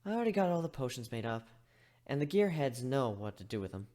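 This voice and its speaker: A man's voice: mid-range voice, big pitch swings, fast talker, dark tone, close to an everyday voice. A man voicing a carefree, playful cat woman healer in a story reading. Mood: breezy.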